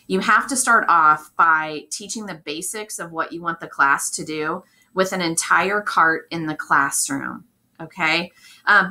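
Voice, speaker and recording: A woman with a hoarse, worn voice runs a recorded training session for teachers, and her tone is bright and forceful. She sits a little low in pitch and keeps things chatty, stopping now and then with "okay?" to check everyone is following. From Indiana, US. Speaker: district technology integration specialist. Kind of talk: recorded professional development talk.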